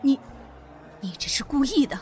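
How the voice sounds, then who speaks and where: A woman's voice: high-pitched, sing-song, moderate pace, mellow, slight background noise. An actress playing an indignant, haughty middle-aged mother in an audio drama. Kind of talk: Chinese audio drama acting. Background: background music.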